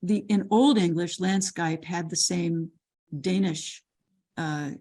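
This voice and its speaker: A woman's voice: on the low side, fairly even intonation, takes it slowly, dark tone. An older woman lecturer answering a question on a video call, thoughtful and full of restarts and repeated words. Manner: thoughtful, with frequent restarts and repetitions.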